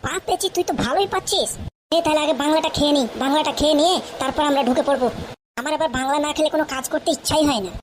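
A woman plays a mischievous village huckster in a cartoon. The voice is extremely high and quick, full of boisterous sales patter, on a noisy amateur track. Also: close to an everyday voice. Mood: scheming eagerness. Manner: boisterous, patter-like street hawking. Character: mischievous cheeky village sidekick hawking cheap ointment. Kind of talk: comic cartoon dialogue.

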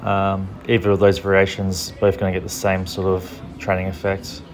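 A young man from a strongman gym, giving a relaxed, practical training tutorial in plain everyday words. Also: low-pitched, moderate pace. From Australia. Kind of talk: casual training tutorial voice-over.

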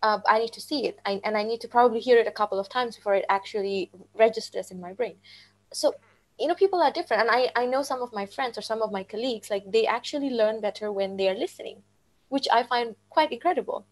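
A woman's voice: a little high, fast, low-quality recording. A woman co-hosting a live webinar, speaking earnestly in casual chat full of "like" fillers. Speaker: webinar co-host, teacher. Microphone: video call.

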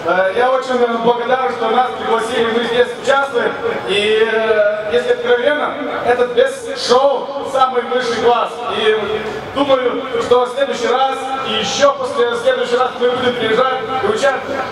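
A man answers slowly, pausing often to find words. His fairly high voice carries through booming speakers from far off. A crowd is heard behind. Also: steady tone. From Lithuania. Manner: hesitant, with many pauses and fillers. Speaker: drift driver. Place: outdoor drift track. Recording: echoey PA sound from far off with crowd.

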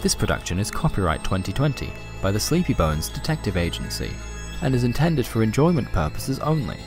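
A man's voice: fairly low pitch, big pitch swings, fairly quick, over music. A man reading scripted closing credits in a formal announcer manner.

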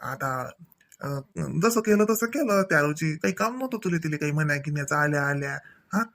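Man playing a high-voiced, chiding village father-in-law, Varhadi accent. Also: animated, normal speed, a bit bright. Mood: gently advising. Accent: Varhadi Marathi. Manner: colloquial, admonishing, punctuated with questioning tags. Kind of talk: Marathi comedy cartoon dialogue.

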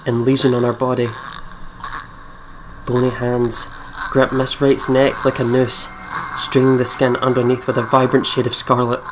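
A man's voice: medium pitch, monotone, moderate pace, background noise. A man, reading a game's text aloud as narration with some casual commentary. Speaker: let's player reading a visual novel aloud. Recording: music behind the voice. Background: game music.

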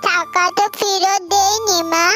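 A performer voicing a pleading little girl fox cub in a children's cartoon, with drawn-out, wheedling delivery. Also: very high voice, steady tone. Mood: worried, sad. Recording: loud music under the voice. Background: loud children's music.

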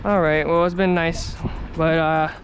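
A man's voice: fairly high pitch, little pitch movement, a little slow. A young man vlogging casually, laid-back and swearing freely while he looks over cars. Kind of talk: casual vlog. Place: outdoors at a car lot and in a truck cab. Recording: outdoor traffic and wind noise.

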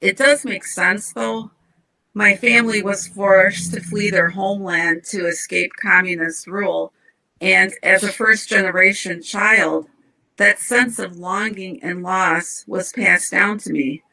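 Middle-aged female poet, calm and measured, bright clear tone, home video call. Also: medium pitch, poor audio. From United States, Ukrainian-American community. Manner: calm, measured, reads verse slowly. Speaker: poet, guest. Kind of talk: interview answers and poetry reading. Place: home room with bookshelves.

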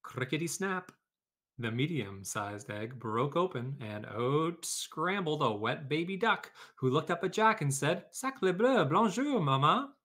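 Medium-pitched man teaching children. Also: animated, measured pace, pushed and bright. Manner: conversational, addressing pupils and teachers. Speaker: educator hosting a read-aloud. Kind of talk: children's story read-aloud and informal classroom instruction.

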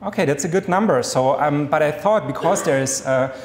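A man in his thirties with a German accent gives an enthusiastic academic lecture from a podium, talking fast with many fillers and restarts. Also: fairly high pitch, slight background noise. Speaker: guest lecturer. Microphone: podium mic. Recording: echoey lecture hall, long reverb.

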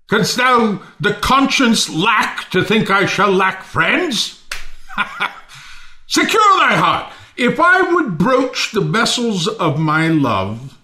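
A man playing a proud, generous, naively trusting Athenian lord in a Shakespeare audio play, declaiming theatrically with sudden hushed drops. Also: high-pitched, heavily acted. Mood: impassioned.

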